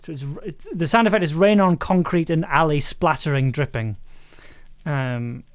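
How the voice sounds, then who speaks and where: A man's voice: fairly high pitch, normal speed. A man in his thirties thinking aloud in casual, chatty live commentary as he edits audio on a stream. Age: 30s. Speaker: streamer and audio drama editor.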